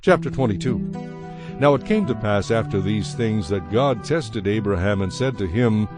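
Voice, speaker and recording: Low male narrator reading bible, solemn and measured, soft music behind, dry sound. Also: expressive. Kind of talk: dramatized scripture narration.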